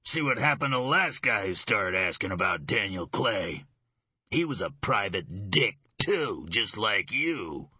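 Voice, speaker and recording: A belligerent middle-aged thug, voiced by a male narrator, snarling and cursing in a husky, raised voice that swoops high and hard. He mocks and threatens. The audio is dull and dry. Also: sing-song, unhurried, no room sound, full character voice. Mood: menacing. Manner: snarling, cursing, mocking laughs.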